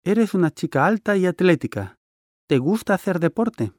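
A friendly interviewer , played by a man, who asks curious, polite questions. His voice sits a little high for a man and moves around a lot in pitch. The studio sound is dry with no room echo. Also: very animated, normal speed, a little mellow. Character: friendly adult male interviewer. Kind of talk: scripted dialogue for a listening test.

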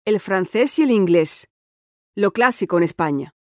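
A woman's voice: mid-range voice, lively intonation, normal speed, no echo, studio quality, natural voice. A woman playing a cheerful, sporty sixteen-year-old schoolgirl in a scripted listening-test dialogue, talking in an eager, chatty and confident way. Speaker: interviewee in an exam listening dialogue.

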